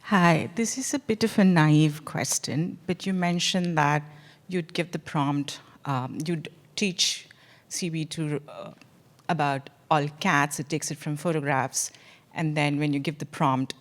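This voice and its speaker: A woman in a conference audience working her way through a question. Her voice sits low for a woman, and she pauses often, hedging with fillers as she goes. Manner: hesitant, halting, many fillers. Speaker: audience member. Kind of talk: asking a question.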